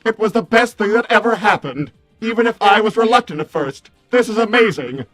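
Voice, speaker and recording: A man's voice: sits high, expressive, brisk, fairly bright tone, faint music behind, rough recording. A man voicing a prim, wordy, vain robot assistant in a fussy, formal, mildly sardonic livestream roleplay. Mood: pleased, fussy. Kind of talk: improvised in-character livestream roleplay.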